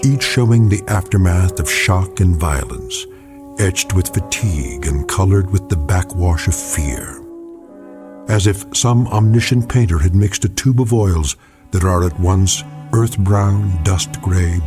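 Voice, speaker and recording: Casting: a very deep-voiced American storyteller for an eerie radio drama, solemn and measured, over clear music. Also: male voice. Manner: solemn, deliberate, portentous. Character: grave, omniscient male narrator of eerie war tales. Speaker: narrator. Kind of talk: scripted dramatic radio anthology narration.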